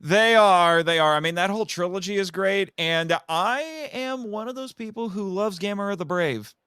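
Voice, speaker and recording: A man's voice: high voice, moderate pace, pushed and bright, clean tone, no echo, studio quality. A man chatting casually about films as a podcast guest, opinionated and effusive, fond of superlatives. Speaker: podcast guest, kaiju film commentator. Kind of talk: casual film discussion.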